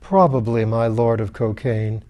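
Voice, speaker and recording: A man's voice: medium pitch, fairly even intonation, measured pace, dull audio, natural voice. A man voicing a cold, sardonic skeleton lady in an audiobook, with a dry, cutting, formally courteous manner. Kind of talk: audiobook character voice.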